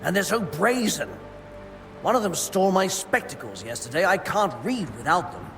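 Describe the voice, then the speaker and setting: Fussy, gravelly monk. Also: male voice, sits high, sing-song, normal speed, fairly bright tone, exaggerated voice. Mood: weary complaining. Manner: grudging, formal, pious. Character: fussy, stingy monk guarding monastery food stores. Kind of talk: video game cutscene acting. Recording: long reverb, music behind.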